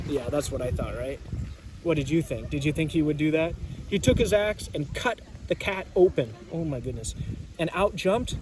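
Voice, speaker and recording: A man's voice: a little high, noisy background. A man reading a storybook aloud as a teacher, casually chatting and prompting listeners with questions.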